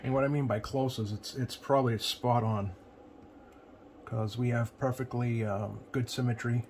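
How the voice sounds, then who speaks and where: A man giving a casual hands-on tutorial as a repair technician, plain-spoken and often pausing mid-sentence. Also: mid-range voice, fairly even intonation, darkish tone. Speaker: CB radio repair technician presenting. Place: indoor electronics workbench. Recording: clear background noise, home workbench room.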